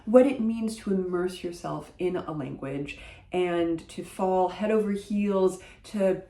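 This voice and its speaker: A woman whose first language is French talks casually to camera about a book she has read, thoughtful and often pausing on fillers. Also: fairly low pitch, dark tone. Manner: thoughtful, hesitant, many uh fillers. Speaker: book vlogger. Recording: roomy echo.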